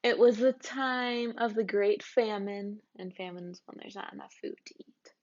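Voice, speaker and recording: A woman's voice: mid-range voice, moderate pace, darkish tone, lo-fi sound. A woman teacher reading a children's storybook aloud and explaining words to children.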